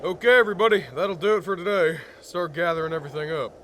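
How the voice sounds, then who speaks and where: A man's voice: sits high, fast, a bit bright, slight background noise. A man playing a confident co-leader and shooting instructor of a band of survivors in a comic dub. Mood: commanding. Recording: faint ambience and gunfire behind.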